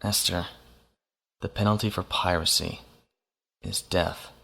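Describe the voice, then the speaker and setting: An actor playing a composed, principled naval officer in an audio drama. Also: male voice, low voice, steady tone, gravelly, bright, forceful tone, breathy edge, heavily acted. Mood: calm. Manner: measured, composed.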